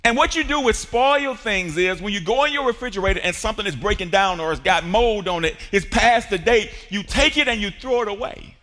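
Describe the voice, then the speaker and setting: A man in his forties preaching a sermon on a large church stage, building to shouts and calling on the congregation to answer. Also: high voice, animated, fairly quick, hardly any pauses. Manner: preaching with rising shouts and call-and-response. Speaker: pastor. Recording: long hall reverb.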